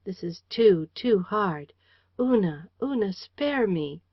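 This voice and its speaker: A woman's voice: fairly low pitch, normal speed, no echo, close to an everyday voice. A woman voicing an earnest young man in an audiobook, imploring and tender toward the woman he loves. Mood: anguished. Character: earnest young man pleading with his beloved. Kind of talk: audiobook character reading. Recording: dry, slightly dull lo-fi audio.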